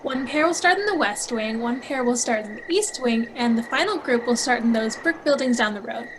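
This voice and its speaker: A woman plays a skeptical, well-informed young woman in a horror audio drama. Her voice is fairly high and matter-of-fact, with crickets and faint noise behind. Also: expressive, brisk, close to an everyday voice. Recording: faint noise with night insects. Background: crickets and frogs.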